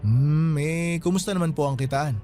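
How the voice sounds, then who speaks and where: An actor playing a friendly Filipino night guard who talks respectfully and deferentially in a radio drama. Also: male voice, on the high side, moderate pace, dark tone, faint music behind, natural voice. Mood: friendly, curious. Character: polite, friendly male night guard named Melo.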